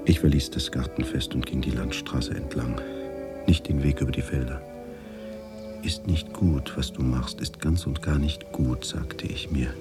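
An actor playing a haunted, brooding man who recalls a wartime childhood in a hushed, measured, introspective radio drama narration. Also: extremely low pitch, steady tone, loud music behind the voice. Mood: brooding, reflective. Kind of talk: radio drama, reflective first-person narration with dialogue.

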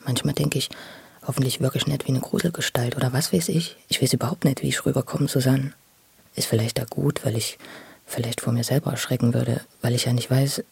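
A husky, very breathy voice of middle pitch. The speech is halting and intimate, circling back over words and often slipping into a whisper, like an emotional account given to a confidante. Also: a little mellow, dry recording. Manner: halting, repetitive, searching for words, often apologising.

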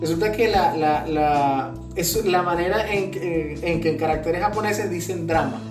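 Woman podcast cohost, kinda halting, far from mic, roomy echo. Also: on the low side. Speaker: podcast co-host. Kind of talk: casual podcast chat. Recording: distant echoey mic, music behind the voice. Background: plucked guitar music.

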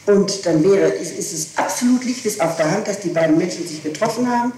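A woman's voice: lowish voice, expressive, a little fast, dark tone. A woman answering questions in a recorded police interrogation, explaining herself insistently. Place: echoey room, far from the microphone. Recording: echoey distant archival tape with hiss.

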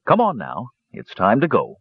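A man voicing a kind, steady male half-elf who speaks gently to his companions. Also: medium pitch, moderate pace, slightly rough, no echo. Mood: gentle. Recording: dull dry recording.

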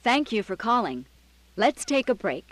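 Courteous female radio host, played by an actress, fairly high, very melodic, scripted dialogue. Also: very animated, moderate pace, music in the background.

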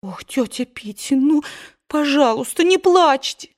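A woman's voice: expressive, unhurried. A woman reading an audiobook voices a willful, spoiled young woman who pleads and bursts out in tearful anger. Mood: pleading. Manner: pleading, rising to shrill outbursts.

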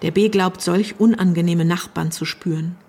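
Low-voiced female chronicler of a dark tale. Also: fairly even intonation, normal speed. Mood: somber. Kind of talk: German radio play, literary scripted narration.

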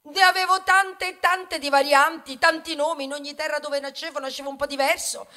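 A woman's voice: sits high, steady tone, a little fast. A woman playing a talking grain of wheat in a theatrical monologue, wry and indignant, moving between muttered asides and protest. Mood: wistful, wry. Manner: ironic, conversational, swinging from muttered asides to loud protest. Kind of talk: theatrical stage monologue, ballad-like storytelling.

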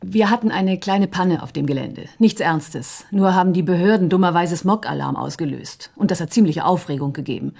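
Quick-witted secretary for radio drama: fairly low, warm timbre, composed, teasing. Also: female voice, gravelly. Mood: composed, polite. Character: cool, quick-witted female secretary at chemical company. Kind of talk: German radio crime drama dialogue.

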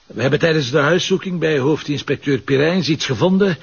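A stubborn Flemish police commissioner with a very husky, gravelly voice. He is cocky and teasing in crime drama dialogue, heard through a dull, lo-fi recording. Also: a little high, fairly quick, heavily acted. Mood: businesslike, pressing. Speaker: actor playing a police commissioner. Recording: muffled lo-fi audio.

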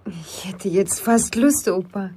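A young woman playing a pert, impulsive city girl in a German radio drama, frank and questioning, with a husky voice. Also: mid-range voice, animated, slow pace, mellow, a little hiss or hum. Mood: coaxing.

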